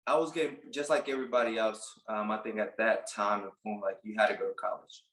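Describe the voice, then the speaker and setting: A man in his late twenties from Puerto Rico, a barber telling his story in a casual interview, relaxed and halting with many fillers. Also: lowish voice, echoey room, poor audio. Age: late 20s. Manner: relaxed, halting, lots of "like" and restarts. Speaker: barber and business owner, guest.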